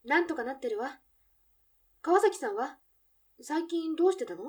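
A high-pitched actress's female voice plays a guarded café barmaid in a radio drama. She answers in soft, understated phrases and carries a quiet longing. The recording sounds somewhat distant from the microphone. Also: lively intonation, moderate pace. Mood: polite, guarded. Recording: distant from the mic.

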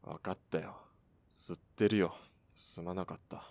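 A man's voice: low-pitched, fairly even intonation, measured pace, creaky, a little mellow, no room sound. A man playing a laconic, brooding sharpshooter in a Japanese radio drama, with a laid-back, terse and evasive manner. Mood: resigned. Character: laconic, brooding male sharpshooter, nonchalant smoker.

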